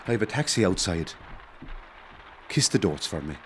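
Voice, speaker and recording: A man's voice: deep voice, sing-song, moderate pace, a little hiss or hum. An actor with an Irish accent playing a weary, driven rebel commander and family man in an audio drama. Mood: subdued, hurried.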